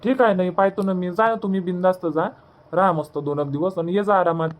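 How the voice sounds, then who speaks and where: A woman's voice: fairly low pitch, fairly even intonation, a little fast, phone-quality sound, natural voice. A woman playing a hospitable, insistent young daughter-in-law in a cartoon comedy, coaxing and repetitive, with a Varhadi Marathi accent. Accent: Varhadi Marathi (Vidarbha). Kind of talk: homemade cartoon comedy dub.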